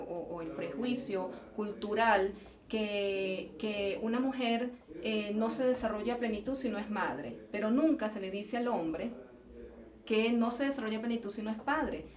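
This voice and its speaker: A woman's voice: a little high, a little slow, crowd noise. A Venezuelan woman, a geological engineer, answering interview questions in a thoughtful way, often restarting and repeating words. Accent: Venezuelan Spanish. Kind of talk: conversational interview. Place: event venue, indoors. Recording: roomy, distant mic, faint noise.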